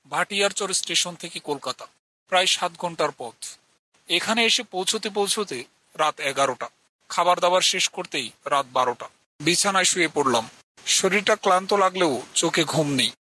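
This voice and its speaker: A man voicing a polite young villager who tells a scripted ghost story in a measured, earnest way. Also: high-pitched, lively intonation, sharp tone, no room sound, exaggerated voice, rough recording. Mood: earnest, uneasy. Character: polite young village man from a poor family recounting his ghost encounter. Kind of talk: scripted horror story narration with acted dialogue. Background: music.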